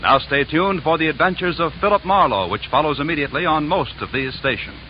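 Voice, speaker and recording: A man's voice: fairly high pitch, a little fast, crowd noise. A man with an American accent reading scripted announcements in 1950s network radio style. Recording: dull vintage radio recording with music.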